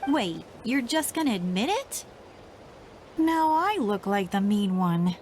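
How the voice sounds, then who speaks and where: A woman's voice: mid-range voice, sing-song, slow, darkish tone, a little hiss or hum. A woman voicing an eager, cheeky young girl sidekick in a video game, chirpy, teasing and self-assured. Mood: playful.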